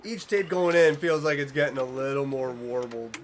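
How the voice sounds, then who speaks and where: A man's voice: mid-range voice, normal speed, slight background noise. A man giving casual reaction commentary, reading captions aloud and musing in fragments. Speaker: reaction video host.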